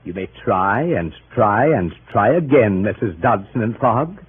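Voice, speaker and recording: A dignified older gentleman, orates earnestly with stately, lively swings of pitch. This is vintage radio drama, on a narrow-band recording with faint noise. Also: a little slow. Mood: defiant. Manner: oratorical, stately. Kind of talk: 1930s radio acting. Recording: thin noisy 1930s radio broadcast.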